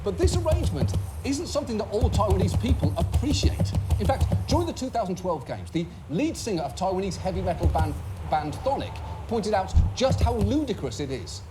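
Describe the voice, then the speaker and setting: A man's voice: sits high, very animated, fairly quick, noisy background. A man hosting a satirical comedy monologue, delivering mocking, sarcastic asides. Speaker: comedy show host.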